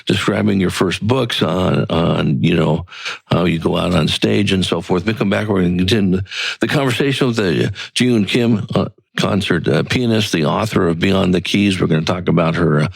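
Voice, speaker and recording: Podcast host: man, very low and gravelly, slightly husky, dry close sound, rambling and affirming. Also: normal speed, no echo, low-quality recording. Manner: affirming, filler-heavy, rambling. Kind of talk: radio interview hosting.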